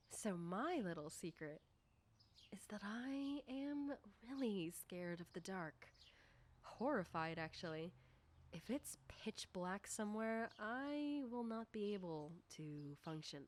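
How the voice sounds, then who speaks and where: A woman playing a nosy, teasing, self-assured popular high school girl in a soft ASMR roleplay, coy and deliberate with whispery dips. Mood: confiding. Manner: coy, probing, deliberate, often dropping into whispers. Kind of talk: ASMR roleplay, soft personal-attention talk.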